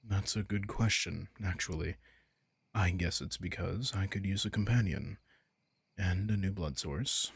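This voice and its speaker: A man's voice: sits low, a little slow, gravelly, breathy edge, dry recording, studio quality, heavily acted. A man playing a caring vampire king in an intimate roleplay audio, speaking in a casual, teasing, protective way. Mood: concerned, gentle. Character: commanding but caring male vampire king.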